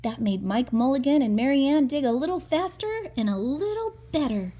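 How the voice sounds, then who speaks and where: A woman's voice: high-pitched, animated, a little fast, warm tone, highs cut off. A woman reading a picture book aloud to a child as a mother, expressively, with chatty asides and questions.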